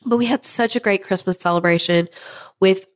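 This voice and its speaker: A hoarse woman in her thirties hosts a casual podcast. Her voice is worn down by a cold, but she keeps chatting easily and breaks into laughs along the way. Her pitch sits in an ordinary middle range. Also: a little fast. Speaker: podcast host.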